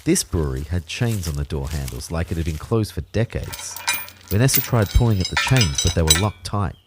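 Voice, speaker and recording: A man's voice: low-pitched, animated, brisk, heavy background noise. An actor playing an anxious everyman who narrates a sci-fi audio drama in a wry, understated way. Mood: wry, uneasy. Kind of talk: scripted first-person audio drama narration.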